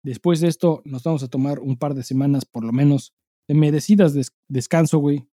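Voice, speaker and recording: Slangy Mexican man with a mellow, dry sound. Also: a little high, fairly even intonation, fairly quick, no room sound. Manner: slangy, profane, emphatic. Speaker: podcast co-host. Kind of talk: casual chat.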